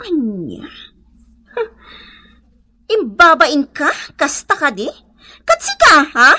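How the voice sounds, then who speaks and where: A woman's voice: huge pitch swings, very slow, sharp tone, full character voice. A woman with a northern Philippine accent playing a fiery, combative schoolteacher in a comedy radio drama. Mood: outraged. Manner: shrill, combative, challenging. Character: indignant, fiery female schoolteacher accused of cheating.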